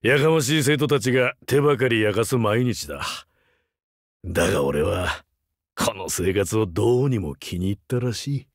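A male actor playing a rugged older vampire hunter turned teacher in a game, wry, laconic and gruffly fatherly. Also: on the low side, lively intonation, normal speed, dry recording, clean studio sound. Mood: gently weary. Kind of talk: Japanese mobile game character voice lines.

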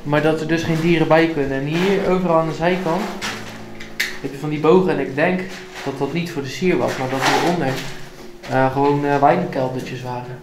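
A man's voice: fairly high pitch, steady tone, normal speed, noisy background. A man chatting casually as he explores and points things out as an urban explorer vlogger, in a cellar. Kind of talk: casual chat while exploring. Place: stone cellar of an abandoned building, indoors. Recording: echoey underground space, loud music over it. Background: music.

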